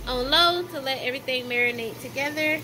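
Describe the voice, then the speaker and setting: High-voiced young woman, chatty. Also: a little fast, noisy background. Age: 20s. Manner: informal, chatty, addresses viewers directly. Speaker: home cooking vlogger. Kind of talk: casual cooking vlog chat. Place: small home kitchen. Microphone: handheld camera at arm's length.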